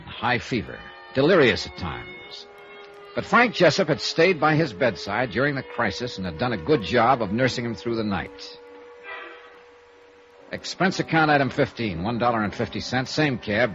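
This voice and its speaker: An actor playing a wry, hard-boiled insurance investigator in a 1950s radio noir, with clipped, dry, probing delivery and first-person narration. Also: male voice, medium pitch, big pitch swings, normal speed, fairly bright tone. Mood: dry, matter-of-fact. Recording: dull vintage radio recording with music.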